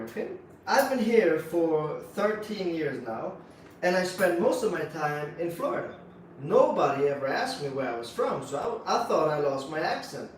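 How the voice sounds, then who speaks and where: A man with a Swiss accent co-hosting a casual bonsai livestream, explaining techniques in a relaxed, practical way with lots of fillers. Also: sits high, a little hiss or hum. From Switzerland. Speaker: bonsai nursery co-host. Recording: echoey room, mic at a distance.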